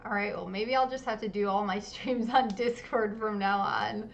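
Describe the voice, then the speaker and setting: A woman hosting a live-streamed artist interview, talking casually with lots of ums and quick apologies. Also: medium pitch, steady tone, slight background noise. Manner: chatty, many ums, apologetic. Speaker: livestream interview host. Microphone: home streaming setup.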